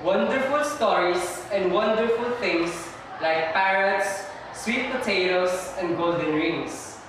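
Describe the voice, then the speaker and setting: A young woman playing a determined male explorer in an amateur stage reading, declaiming deliberately. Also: on the low side. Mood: proud, declaring. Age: young adult. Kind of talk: amateur stage readers' theater. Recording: distant mic, very echoey noisy room.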